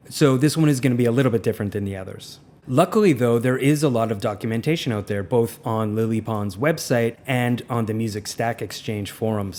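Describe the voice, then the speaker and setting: A man with a slightly raspy voice, narrating a video as the host, curious and candid as he explains. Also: mid-range voice, a little mellow. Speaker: music software reviewer.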